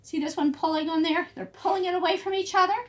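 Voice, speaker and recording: A woman teacher reading a picture book aloud to young children, emphatic, pausing to explain and prompt. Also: very high voice, fairly even intonation. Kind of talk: expressive storybook read-aloud for young children.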